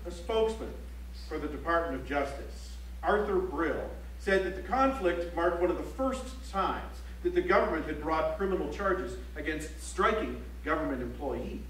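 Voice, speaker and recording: Formal newsman for a one-act stage play, acted by a man: high register, measured declarative delivery, roomy echoing sound. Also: expressive, a bit bright. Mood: matter-of-fact. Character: male news reporter reciting strike reports in measured tones. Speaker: stage actor. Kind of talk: theatrical recitation of news reports. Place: library auditorium stage, live play. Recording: echoey auditorium from far off, faint hum.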